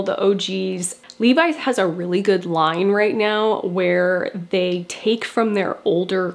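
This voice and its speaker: A woman in her thirties, congested and nasal from a cold, chatting enthusiastically to camera as a fashion vlogger in a small room. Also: mid-range voice. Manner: chatty and enthusiastic, many "like" fillers. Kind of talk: casual talk to camera. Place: small bedroom indoors.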